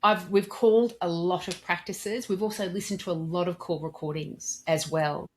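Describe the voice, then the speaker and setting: A woman's voice: on the low side, steady tone, normal speed, slight background noise. A woman explaining and giving advice in a podcast interview, articulate and emphatic, in the manner of a trainer. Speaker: dental communication trainer and consultant, podcast guest.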